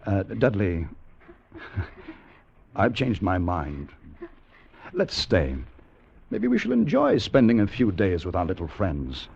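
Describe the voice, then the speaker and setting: Earnest time traveler in an old radio play, voice swings a lot, muffled recording w music under it. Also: male voice, sits low, huge pitch swings, takes it slowly, creaky, pauses often, noisy background, exaggerated voice. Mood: earnest, curious. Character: earnest, wondering time traveler recounting his adventure. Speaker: narrator and lead actor in a radio drama. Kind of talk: 1950s American radio drama acting.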